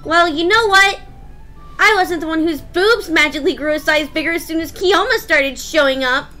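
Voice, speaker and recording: Prickly little schoolgirl for visual novel, played by a woman: bratty, very high-pitched, snappish, soft music behind. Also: normal speed. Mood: confrontational, angry. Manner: blunt, huffy, snappish. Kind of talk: visual novel voice-over read aloud.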